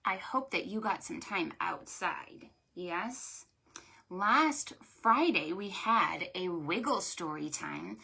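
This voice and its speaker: A woman hosting a preschool storytime, speaking to small children in an animated, sing-song, exaggerated way. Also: fairly low pitch, takes it slowly, vocal fry, husky. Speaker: children's librarian, storytime host.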